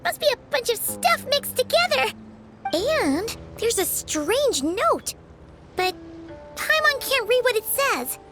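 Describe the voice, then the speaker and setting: A woman voicing a tiny, excitable, childlike fairy companion in a video game, chatty and squeaky. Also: takes it slowly, soft background music, exaggerated voice. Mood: curious. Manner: exclamatory, refers to self in third person.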